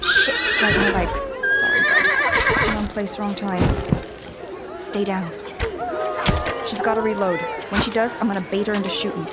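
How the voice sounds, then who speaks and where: A woman playing a blunt, commanding, quick-thinking fighter in a fantasy audio drama, speaking in clipped orders. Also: medium pitch, very animated, very noisy, lightly acted. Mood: urgent, commanding. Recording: loud music and clamour behind.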